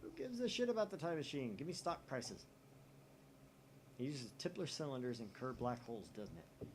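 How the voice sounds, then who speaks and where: A man's voice: medium pitch, big pitch swings, moderate pace, slight background noise. Man reading game text in shifting voices. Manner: reads chat posts in shifting voices, swears casually. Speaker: let's play host. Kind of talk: reading a visual novel aloud.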